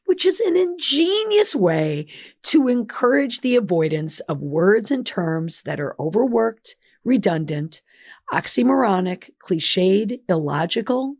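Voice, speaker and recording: A woman's voice: mid-range voice, gravelly, darkish tone, no room sound, studio quality. A woman hosting a solo podcast while she has lost her voice, reading out a list of words with chatty asides. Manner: chatty, reads list entries aloud with asides. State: hoarse, losing her voice. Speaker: podcast host.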